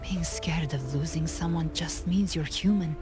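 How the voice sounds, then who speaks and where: A woman voicing a calm, resolute hunter in a video game, speaking solemnly and consolingly in measured phrases. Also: deep voice, music in the background. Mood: gently reassuring. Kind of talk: scripted video game dialogue.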